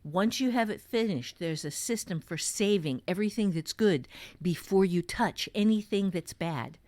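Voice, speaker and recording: A woman's voice: mid-range voice, lively intonation, moderate pace, darkish tone, no echo. A woman novelist chatting casually as she gives blunt, emphatic, sometimes sweary advice on writing fiction. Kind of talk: casual podcast conversation giving writing advice.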